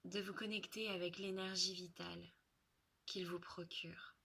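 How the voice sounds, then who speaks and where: Woman doing guided meditation, super slow n soothing, bright crisp tone, soft breathy phrase endings. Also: on the low side, level tone. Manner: soothing, unhurried, with long pauses. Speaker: yoga relaxation guide.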